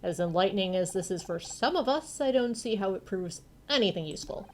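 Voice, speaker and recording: Woman doing a gruff, curt old police detective. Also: mid-range voice, expressive, brisk, slight background noise, lightly acted. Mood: impatient. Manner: curt, sardonic.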